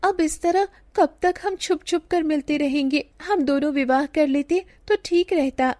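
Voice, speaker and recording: Woman voicing an earnest high-pitched young bride. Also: unhurried, darkish tone, no room sound. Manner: earnest, pleading. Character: gentle young village bride, loving and anxious. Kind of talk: Hindi animated moral story dialogue.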